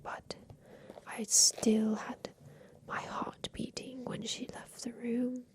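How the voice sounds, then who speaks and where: A woman voicing a secretive, tender captive girl in a haunted attic, in a hushed, deliberate ASMR roleplay whisper that repeats phrases. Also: on the high side, little pitch movement. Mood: wistful, secretive.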